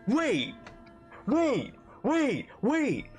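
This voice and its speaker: A man's voice: very high-pitched, huge pitch swings, very slow. A man giving casual live reaction commentary as he streams a video game. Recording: game music behind the voice.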